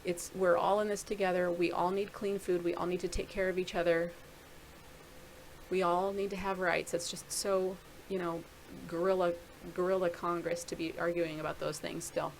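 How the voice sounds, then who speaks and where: A woman's voice: lowish voice, level tone, normal speed, a little hiss or hum, rough recording. A middle-aged woman casually talking through a screen-share video blog, rambling and thinking aloud with many restarts. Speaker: video blogger.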